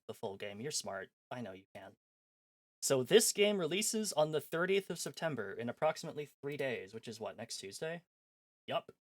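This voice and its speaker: Dry-sounding, animated male game streamer. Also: mid-range voice, dry recording. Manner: animated, self-mocking, jokey. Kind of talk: live-stream chat, reading a game aloud.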